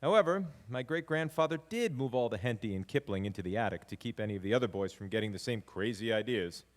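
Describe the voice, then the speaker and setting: An actor playing a grandson fondly narrating family history in a wry storytelling manner on stage. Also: male voice, on the low side, lively intonation, fast talker, natural voice. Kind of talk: dramatic monologue narration before live audience.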